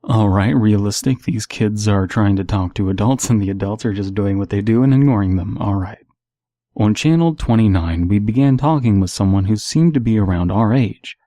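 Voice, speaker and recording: A man's voice: on the low side, moderate pace, mellow, no echo, clean studio sound. A man reading stories aloud and giving casual, analytical commentary as the host.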